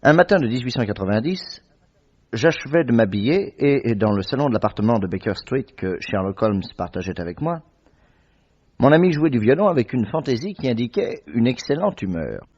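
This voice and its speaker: A cheerful doctor and loyal friend in a vintage radio play, hearty and expressive. His voice rises and falls with lively pitch. The sound is dull and lo-fi. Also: brisk. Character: warm, cheerful male doctor and friend, narrator. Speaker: narrator. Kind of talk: 1950s French radio drama acting. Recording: dull lo-fi old radio recording.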